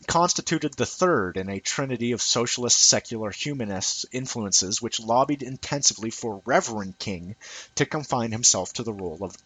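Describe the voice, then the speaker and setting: Male narrator for essay reading, lowish pitch, somewhat rough-edged tone, dry close sound. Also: fairly bright tone, no echo, low-quality recording. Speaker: amateur reader of an essay. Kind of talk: scripted essay read aloud as narration.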